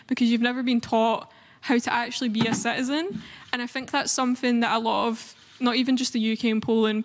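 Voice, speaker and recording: Young Scottish woman, panel speaker, fairly high voice, earnest and filler-heavy, in a long reverberant hall. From Scotland. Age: young adult. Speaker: panel speaker, youth activist. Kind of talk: panel discussion remarks.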